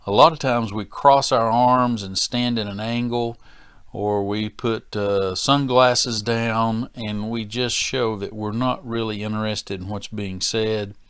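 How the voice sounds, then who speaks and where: Man, fairly low pitch, plain-spoken trainer, deliberate instructional delivery, very little room sound. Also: steady tone, fairly bright tone, clean tone, dry recording. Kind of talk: instructional presentation to workers.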